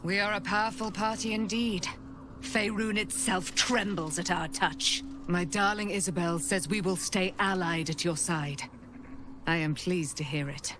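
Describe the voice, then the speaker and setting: Slow, grandiose warrior woman, acted by a woman. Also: fairly even intonation, music in the background, natural voice. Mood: solemn resolve. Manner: formal, booming proclamation. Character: proud, triumphant holy warrior woman, grandiose and devout. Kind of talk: declamatory video game acting.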